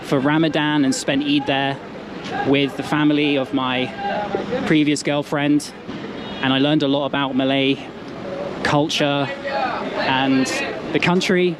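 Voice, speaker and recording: A man's voice: on the high side, fairly even intonation, unhurried, long pauses. A man narrating a travel vlog as he walks through a busy city street outdoors. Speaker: travel vlogger. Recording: loud outdoor street noise. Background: loud traffic and street noise.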